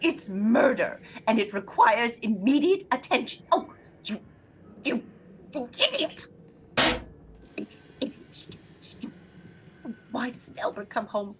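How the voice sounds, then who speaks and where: A woman's voice: a little high, expressive, unhurried, pauses often, noisy background. An actress playing an anxious, demanding bedridden wife in a suspense audio drama, fretful, insistent and imperious as she frets. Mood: exasperated.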